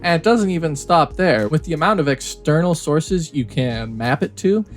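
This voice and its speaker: A man presenting a software tutorial, explaining things step by step in a casual way. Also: fairly high pitch, animated, moderate pace, soft background music.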